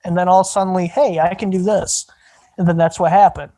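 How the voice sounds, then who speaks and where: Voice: mid-range voice, fairly even intonation, brisk, dark tone. A podcast host in their twenties, talking loosely in casual chat, swearing and laughing often. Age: 20s.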